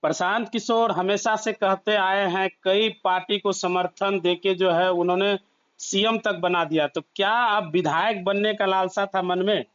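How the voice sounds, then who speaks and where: A man's voice: high voice, steady tone, a little slow, fairly bright tone, clean tone, dull audio. A man interviewing a guest for a news outlet over a call, putting probing questions.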